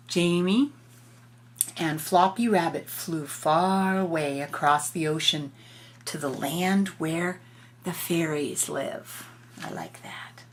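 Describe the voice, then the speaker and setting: Slow-paced older woman reading a picture book. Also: on the low side. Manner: gentle, explanatory, child-directed. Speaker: grandmother reading to a child. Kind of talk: picture-book read-aloud with informal asides.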